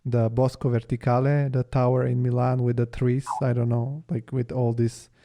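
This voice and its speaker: A man from Bulgaria and Italy hosting a casual interview, informal and halting as he thinks aloud. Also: medium pitch, little pitch movement, warm tone. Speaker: podcast host, interviewer.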